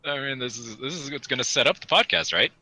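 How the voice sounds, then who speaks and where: A man's voice: medium pitch, lively intonation, fast talker, pushed and bright. A young man chatting casually and in a rambling way as a podcast host. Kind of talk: casual chat.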